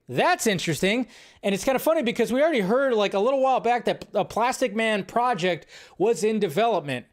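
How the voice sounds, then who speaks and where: A man with a somewhat high voice hosts a solo live stream. He talks loosely and thinks out loud, often restarting his sentences. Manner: rambling, thinking aloud with restarts. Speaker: host.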